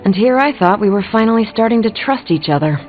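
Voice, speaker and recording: A woman's voice: medium pitch, animated, quick pace, close to an everyday voice. A woman playing a skeptical, sardonic superhero teammate in a video game, dry and pointed. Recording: tinny, narrow-band game audio with music.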